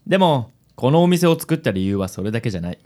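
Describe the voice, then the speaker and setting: An actor playing a suave, gallant ladies' man in a radio drama, smooth and theatrical, dropping flowery English words. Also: mid-range voice, big pitch swings, fast, a little mellow, lightly acted. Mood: suave, gentle. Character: suave, gallant playboy man nicknamed Handsome.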